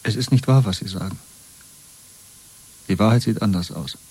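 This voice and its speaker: An actor playing a broken but stubborn prisoner under interrogation in a German radio play, speaking wearily and plainly in a husky voice. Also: male voice, lowish voice, moderate pace, a little mellow, low-quality recording. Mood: quietly defiant.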